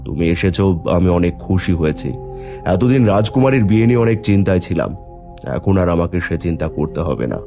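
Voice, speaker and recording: A man's voice: deep voice, animated, quick pace, gravelly, mellow, soft background music. A man playing an older king and father with a commanding manner in a cartoon fairy tale. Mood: relieved.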